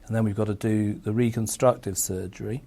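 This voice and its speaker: Mellow low-voiced surgeon explaining calmly. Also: male voice. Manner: measured, clinical, matter-of-fact. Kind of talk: explaining a medical procedure.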